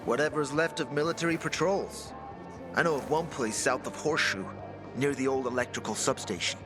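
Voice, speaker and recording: A man's voice: mid-range voice, brisk, over music, close to an everyday voice. A man playing a young apprentice inventor in a video game, chatty, earnest and unsure of himself. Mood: earnest, hopeful. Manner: chatty, earnest, self-doubting. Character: young, eager, self-doubting male apprentice inventor. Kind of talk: video game cutscene acting.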